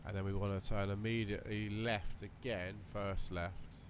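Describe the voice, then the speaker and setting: A man with a slightly croaky voice, casually talking through a flight simulator session while thinking aloud with lots of fillers. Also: fairly low pitch, little pitch movement, moderate pace. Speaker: flight sim video creator. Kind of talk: relaxed running commentary while flying a simulator. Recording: voice over loud simulator cockpit noise.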